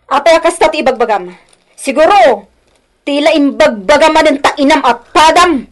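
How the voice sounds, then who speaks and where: A woman acting a defiant, hot-tempered wife in a radio drama, shrill and quarrelsome. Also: lively intonation, normal speed. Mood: indignant.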